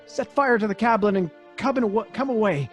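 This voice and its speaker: An actor playing an anxious, pleading male friend in old time radio melodrama, husky, halting and emphatic. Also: high voice, soft background music, lightly acted. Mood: urgent pleading.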